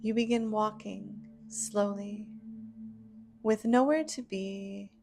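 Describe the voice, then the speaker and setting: A woman guiding a scripted imagery meditation in a gentle, soothing, unhurried manner with long pauses. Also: medium pitch, steady tone, vocal fry, faint music behind. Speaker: meditation guide.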